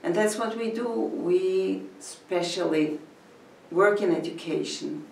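Older woman, gravelly and roomy. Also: medium pitch, darkish tone, a little hiss or hum. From Switzerland. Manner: measured, phrase by phrase, with pauses. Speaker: community educator. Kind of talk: talking to camera about her village. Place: indoor room in front of a painted mural. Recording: echoey room, mic at a distance.

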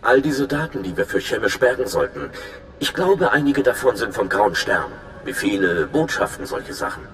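A man's voice: on the low side, big pitch swings, normal speed, reverberant, soft background music. An actor playing an earnest, conscientious male undercover agent in a video game, speaking in a confiding way. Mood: calm, confiding. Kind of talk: dramatic video game acting.

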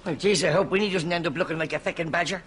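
Man playing a brash, high-pitched Irish mammy. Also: very fast, close to an everyday voice. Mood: mocking. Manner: brash, sarcastic, blunt. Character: foul-mouthed, sharp-tongued older Irish mammy. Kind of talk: broad studio sitcom acting. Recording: loud studio audience, noisy ambience.

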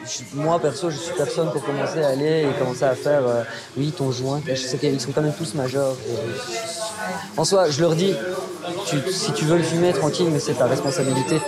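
A man's voice: a little high, normal speed, a loud crowd. A man from Belgium who leads a student group, answering hesitantly in a casual interview. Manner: hesitant, stumbling.

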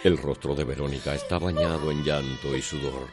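A man's voice: extremely low pitch, steady tone, brisk, music in the background. A man with a Cuban accent narrating a radio drama in a solemn, lyrical, dramatic manner.

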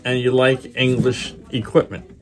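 A man's voice: mid-range voice, little pitch movement, measured pace, crowd noise. An older man, a repair technician, chatting casually as he works in a small workshop. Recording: clear background noise, workshop clicks.

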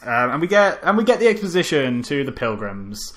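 Profane, rambling podcast host. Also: male voice, mid-range voice, sing-song, brisk, a bit bright. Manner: rambling, profane, stumbles and restarts. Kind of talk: casual film review chat.

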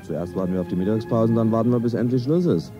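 Deep voiced guy being interviewed, dull sound, faint music behind. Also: quick pace, dark tone. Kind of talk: plain answers about work. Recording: dull, band-limited sound.

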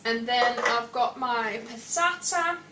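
A woman's voice: a little high, takes it slowly. A woman in her thirties giving a casual cooking demonstration as host. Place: indoor home kitchen. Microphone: clipped lapel microphone. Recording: echoey room, slightly distant, faint noise.

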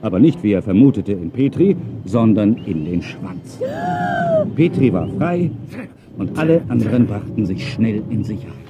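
A man's voice: on the low side, lively intonation, normal speed, dull audio, noisy background. A man narrating a children's audio drama in a dramatic storytelling manner. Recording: music behind the voice. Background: music.

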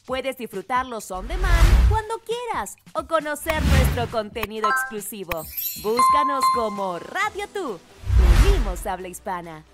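A woman reads a radio station promo in a bright, lilting voice. Her voice is high and swings widely, with loud music underneath. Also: very animated, unhurried. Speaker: station announcer. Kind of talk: promo voice-over.

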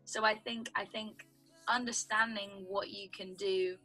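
A young British woman with a husky voice, a songwriter guest chatting casually over a video call from home. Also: mid-range voice, steady tone, unhurried, bright, forceful tone, soft background music, rough recording. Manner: chatty, explains with lots of "like" and "do you know what I mean". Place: home room indoors. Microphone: video call webcam.

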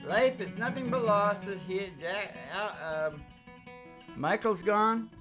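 A man's voice: high voice, takes it slowly, music in the background. An older man bantering and ranting casually as a show host, with a sarcastic, profane, folksy manner, close on a stand microphone.

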